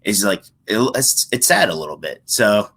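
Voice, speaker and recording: A man's voice: lowish voice, big pitch swings, normal speed, bright, forceful tone, breathy edge. A young man talking casually on a podcast, sharing his thoughts in a conversational way. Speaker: podcast host and guest.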